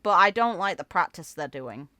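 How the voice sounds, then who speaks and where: A woman's voice: lowish voice, normal speed, no room sound. Woman gamer, dry close sound, casual commentary. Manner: informal, interjecting, expressive. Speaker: gaming video host. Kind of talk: casual off-the-cuff reaction commentary.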